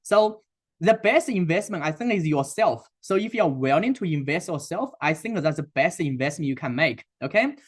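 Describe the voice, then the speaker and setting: A man's voice: on the high side, animated. Chinese-accented man hosting a sales webinar. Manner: halting, many fillers and restarts. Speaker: webinar host and sales coach. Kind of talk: webinar presentation.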